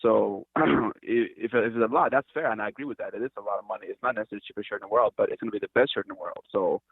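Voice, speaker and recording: A man's voice: on the low side, rapid-fire, husky, no room sound. A man from Cameroon, a company founder, talking earnestly and hesitantly in an interview, often restarting his sentences. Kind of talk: casual interview talk. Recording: tinny dry phone line.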